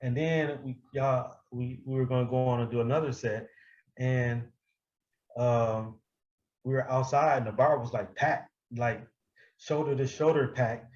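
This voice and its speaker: An American man telling stories casually in an interview, profane and quick to laugh. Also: highs cut off. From Milwaukee, United States. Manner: animated, profane, breaks often into high-pitched laughter. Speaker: hip-hop artist being interviewed. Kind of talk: casual anecdotal storytelling.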